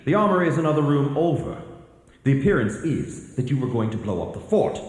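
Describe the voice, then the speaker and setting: An actor playing a stern, sardonic army captain in a radio drama, brusque and commanding. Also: male voice, medium pitch, big pitch swings, a little fast, a little mellow, lightly acted. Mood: dry, accusing. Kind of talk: radio theatre acting. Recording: echoey hall reverb.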